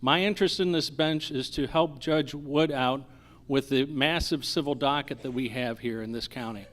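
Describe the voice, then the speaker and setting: A man's voice: mid-range voice, moderate pace, fairly bright tone. A man, a lawyer and judicial candidate, giving a campaign introduction with hesitant stumbles and repeats. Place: live candidate forum.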